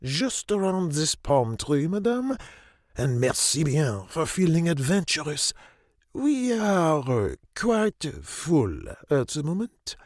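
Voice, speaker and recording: Flamboyant maître d'. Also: male voice, on the high side, big pitch swings, takes it slowly, darkish tone, no room sound, clean studio sound. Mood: fussily apologetic. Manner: formal, flourishing, deferential. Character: fussy, theatrical French-style maître d', obsequiously polite. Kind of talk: audio drama acting.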